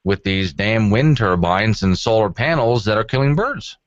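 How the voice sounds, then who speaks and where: Male radio host, lively swooping delivery, wry asides, dry close studio sound, conversational. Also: on the low side, normal speed, dry recording, highs cut off. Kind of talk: conversational call-in radio talk.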